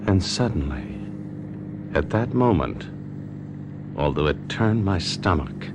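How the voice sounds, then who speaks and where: A scheming husband coaxes in a low voice with theatrical, very mobile intonation. It is old-time radio mystery acting, with clear noise behind him. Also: male voice, expressive, takes it slowly, full character voice. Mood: brooding, calculating. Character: smooth-talking scheming husband, coaxing, secretly murderous.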